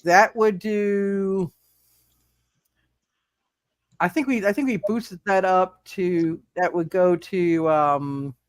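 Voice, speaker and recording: A man with a fairly high voice acts as game master for a superhero roleplay session. He talks in halting bursts, often repeating words as he works through the rules. The sound is dry, with little room in it. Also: clear, resonant tone, no room sound. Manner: halting, repeats words while thinking aloud. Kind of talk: running a live tabletop superhero roleplay game.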